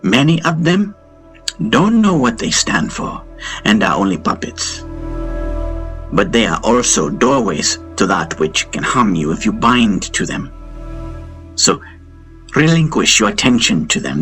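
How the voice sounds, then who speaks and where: A solemn woman of dreams, voiced by a man narrator, guiding and cautioning in measured phrases. It is a fantasy audiobook part, with music underneath. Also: on the low side, big pitch swings, a bit bright. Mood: solemn warning. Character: dream sister, solemn female spiritual guide giving warnings.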